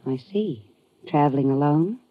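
Coy female travel agent, low, husky voice, inquisitive and suggestive, radio noir. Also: lively intonation, measured pace, no room sound. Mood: coy, probing. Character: smooth, probing, flirtatious female travel agent. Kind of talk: old-time radio drama acting. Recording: muffled old radio recording.

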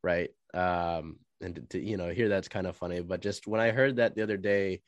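This man is hosting a sports podcast interview while slightly under the weather, so his voice comes out a little nasal and stuffy. His pitch sits somewhat low and the sound is dry and close. He winds through long, roundabout questions. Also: fairly even intonation, moderate pace, dry recording. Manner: rambling, long-winded questions. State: stuffy nose from a cold. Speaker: podcast host. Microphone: video call.

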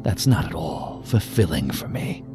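An actor playing a menacing, talkative intruder with dark sardonic humour in an audio drama, taunting and rambling as he goes. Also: extremely low pitch, unhurried, heavily acted. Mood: sardonic menace. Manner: taunting, rambling, deadpan-joking. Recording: music under the voice.

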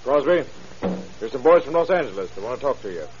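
Brisk gruff policeman played by a man. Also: mid-range voice, very animated, a little mellow, natural voice. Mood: businesslike. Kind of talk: 1930s radio crime drama acting. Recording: muffled, hissy 1930s radio recording.

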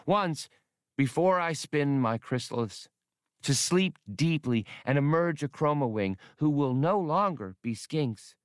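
Scholarly alien creature, male narrator acting: raised pitch, dreamy, polite, earnest storybook delivery. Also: lively intonation, measured pace, clear, resonant tone, no echo, studio quality. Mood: dreamy, wistful. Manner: earnest, formal, explanatory.

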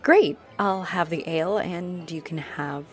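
A woman's voice: lowish voice, unhurried, soft background music, natural voice. A woman playing a friendly, teasing, flirty female halfling orc adventurer in a roleplay audio addressed to the listener. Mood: playful, flirty. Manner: teasing, chuckling, coy. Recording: noticeable noise behind the voice.

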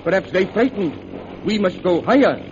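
An anxious, respectful pilot, speaking clipped broken English. His delivery swings widely in pitch, in old radio adventure style on a muffled, noisy recording. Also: big pitch swings, moderate pace. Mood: alarmed.

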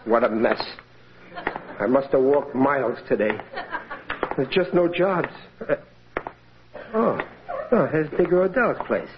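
An actor playing a hapless, blustering working-class husband in a 1940s radio comedy, halting and plaintive. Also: male voice, medium pitch, close to an everyday voice. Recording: noisy muffled vintage radio recording.

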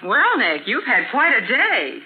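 A woman's voice: on the high side, wildly swooping pitch, a little slow, loud music behind the voice. A woman playing an inquisitive, friendly detective's assistant in a 1940s radio drama. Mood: curious, friendly. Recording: tinny, noisy 1940s radio recording.

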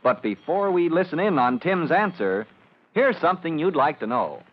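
A man's voice: on the high side, big pitch swings, normal speed. A man narrating an old-time radio serial as its announcer, in a formal, dramatic scripted delivery. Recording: muffled, noisy old radio recording.

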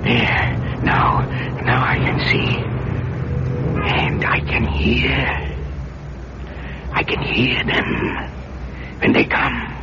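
An actor playing a paranoid, secretive old man who mutters haltingly to himself in an old-time radio drama. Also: mid-range voice, little pitch movement, heavy background noise. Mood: anxious, fearful. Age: older. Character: old frightened, paranoid, secretive male recluse. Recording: dull lo-fi radio drama recording.

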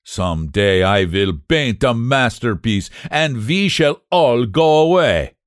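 A man voicing a fierce little old painter with a German accent, gruff and loud. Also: medium pitch, expressive, takes it slowly, no room sound, clean studio sound, voice pushed up.

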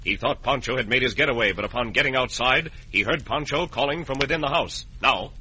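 A man's voice: mid-range voice, brisk, pushed and bright, slight background noise, poor audio. A man with an American accent narrating as a dramatic old-time radio announcer, reading scripted story recaps. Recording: vintage radio broadcast recording.

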